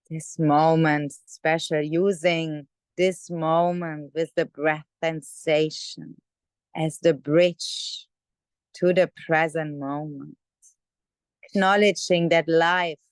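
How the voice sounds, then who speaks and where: Woman, meditation teacher, gentle, clear smooth tone, very slow pacing, frequent pauses, dry sound. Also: lowish voice, no echo. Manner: gentle, unhurried, with many pauses. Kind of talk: guided meditation. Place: online video call session.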